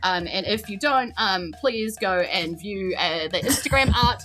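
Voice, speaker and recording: A woman running a tabletop role-playing game, narrating casually and teasing the players in an animated, laughing way. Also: medium pitch, unhurried, faint music behind. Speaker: game master of a tabletop RPG podcast.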